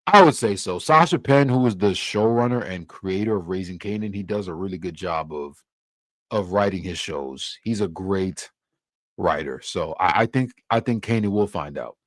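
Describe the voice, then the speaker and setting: A man's voice: deep voice, expressive, moderate pace, no room sound. Laid-back young man chatting on a livestream. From Cameroon. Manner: laid-back, loose, filler-heavy with frequent restarts. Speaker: livestream host. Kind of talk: casual livestream chat answering comments.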